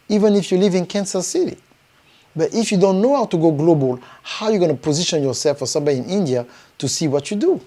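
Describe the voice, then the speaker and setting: A man with a French accent, a native French speaker, giving a live motivational pitch to viewers as a business coach, emphatic and repetitive, with frequent rising bursts. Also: dark tone. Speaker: business coach promoting his event.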